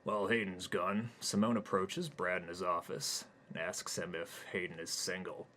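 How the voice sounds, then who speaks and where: A man reading his own crude comic story in a deadpan narrating style. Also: low voice, normal speed, a little hiss or hum. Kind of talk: comic stream-of-consciousness narration.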